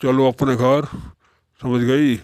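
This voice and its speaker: A man's voice: medium pitch, flat delivery, slow pace, darkish tone, no room sound, natural voice. A man voicing an idle, proud young son-in-law in a moral-story cartoon, complaining in firm statements. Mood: resigned.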